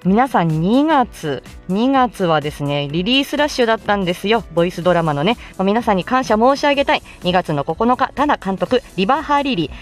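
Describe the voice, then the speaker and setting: A woman's voice: mid-range voice, expressive, brisk, few pauses, faint music behind. A woman hosting a chatty morning livestream, speaking politely in a bubbly, thankful manner. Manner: polite, bubbly, effusively thankful, laughs often. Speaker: host of a live morning audio stream, voice actor. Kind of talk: chatty livestream talk to listeners.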